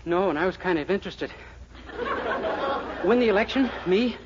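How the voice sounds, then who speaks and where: A man's voice: sits high, animated, moderate pace, a crowd in the background. An American man acting a naive, eager screwball young candidate in a 1940s radio situation comedy, earnest and boyishly flustered. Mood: bewildered. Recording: noisy, muffled 1940s radio recording.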